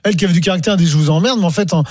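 A man's voice: high voice, rapid-fire, a little mellow, no room sound, clean studio sound. A man on a radio panel, chatting in a casual, slangy way.

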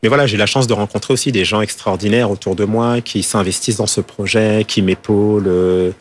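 A man's voice: fairly low pitch, fairly even intonation, brisk, slight background noise. A man from France, a fashion designer answering interview questions, hesitant with many fillers and restarts. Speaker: fashion designer and school founder.

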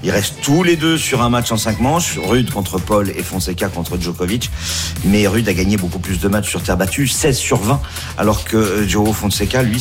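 Low-voiced man reading betting odds. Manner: matter-of-fact, reads odds. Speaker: odds pundit. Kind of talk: sports radio analysis.

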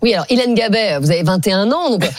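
A woman's voice: mid-range voice, lively intonation, dry recording. A woman hosting a French TV panel who quizzes guests with a teasing tone and hands out the floor. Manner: quick, teasing, passes the word around. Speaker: show host.

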